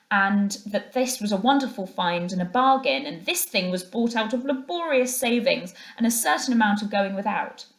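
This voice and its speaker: A woman's voice: medium pitch, roomy sound. A woman narrating a children's story aloud as a teacher reading to a class.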